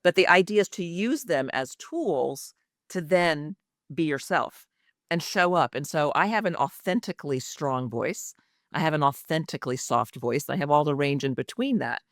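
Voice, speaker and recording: A woman's voice: sits low, expressive, normal speed, no echo, studio quality. A woman executive communication coach speaking as an interview guest in an earnest, reflective way.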